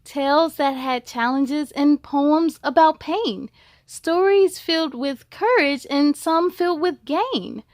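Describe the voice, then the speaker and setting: A woman's voice: high-pitched, takes it slowly, no echo, clean studio sound. A woman hosting a children's read-aloud, enthusiastic and speaking straight to young listeners, with storybook reading and chatty asides.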